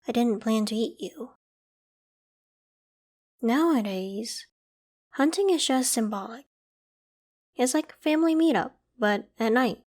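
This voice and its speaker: The sheltering werewolf girl of a late-night ASMR monster roleplay, acted by a woman, a lightly high voice telling you casually and kindly that you are safe with her. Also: animated, slow pace, long pauses. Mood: reassuring, explaining. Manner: casual, conversational, gently protective. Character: friendly, protective half-human female werewolf, young, self-conscious. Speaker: voice actor in audio roleplay.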